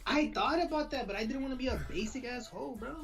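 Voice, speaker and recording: A man rattles off slangy podcast banter at a quick clip. He often stumbles, repeats himself and restarts. Faint music plays behind him. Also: extremely high pitch, creaky, fairly bright tone. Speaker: podcast co-host. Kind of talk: casual banter.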